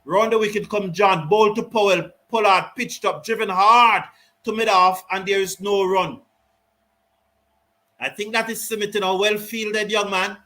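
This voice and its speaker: A man giving live ball-by-ball cricket commentary and reading out viewer comments, excitable on big hits. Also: sits high, measured pace. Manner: lively, rises excitedly on big shots, Caribbean English phrasing. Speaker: cricket watch-along commentator.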